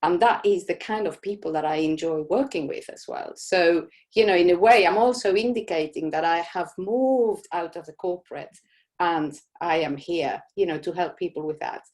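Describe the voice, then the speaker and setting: Chatty woman from Argentina, lowish voice. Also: normal speed. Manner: warm, chatty, many "you know" fillers. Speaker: host of a live Q&A for business owners. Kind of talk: casual live Q&A session.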